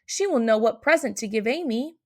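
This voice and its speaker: A woman's voice: a little high, expressive, a little fast, darkish tone, natural voice. A woman voicing a worried, kindly old Santa in a children's storybook, urgent and exclaiming. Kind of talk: children's storybook read-aloud.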